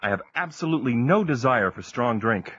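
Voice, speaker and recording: Young 1800s sailor suitor, bright clear-cut voice, polite n earnest, audio drama, bit muffled recording. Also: male voice, medium pitch, expressive, a little fast, dry recording. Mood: earnest, tender. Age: young adult. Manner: earnest, courteous, slightly formal. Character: young seaman in 1810s, earnest, courteous, fond suitor.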